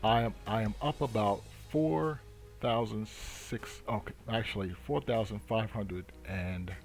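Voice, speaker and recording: A man hosting a trading channel, giving unscripted market commentary in a halting, deliberate way. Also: lowish voice, soft background music.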